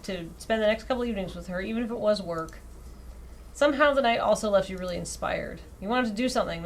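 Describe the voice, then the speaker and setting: Expressive woman streamer reading aloud, noisy background. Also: medium pitch. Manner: expressive reading broken by wry, self-deprecating asides. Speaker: streamer reading out a dating sim visual novel. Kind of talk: live game stream, narration read aloud with casual asides. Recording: clearly audible background noise.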